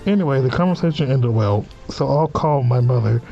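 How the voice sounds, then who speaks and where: A man with a mid-pitched voice plays host to a visual novel let's play. He reads the text aloud and slips into casual asides of his own. Game music runs behind him. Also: animated, fairly quick, vocal fry, warm tone, highs cut off. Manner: informal asides between read-out lines.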